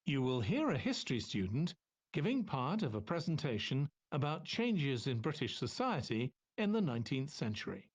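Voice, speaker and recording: A man's voice: medium pitch, big pitch swings, moderate pace, muffled recording. A man reading scripted exam instructions as an announcer, formal and instructional.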